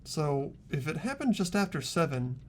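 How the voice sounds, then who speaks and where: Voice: medium pitch, very animated, moderate pace, a little hiss or hum, close to an everyday voice. A young performer voicing an earnest, anxious teenage boy who narrates and questions in a dramatic video game. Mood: concerned, puzzled.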